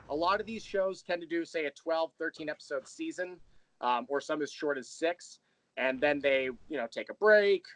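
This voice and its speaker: A man's voice: medium pitch, a bit bright, low-quality recording. A man speaking casually as an interview guest, explaining his creative work.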